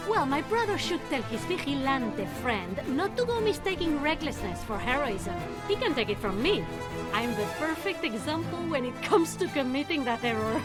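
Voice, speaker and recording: Game lead: cocky young female swashbuckler, high voice, lively swings, playful bravado. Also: moderate pace, loud music behind the voice. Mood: earnest, fond. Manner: self-assured, teasing, playfully dramatic. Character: cocky, daring young swashbuckling swordswoman heroine. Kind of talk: lively video game cutscene acting.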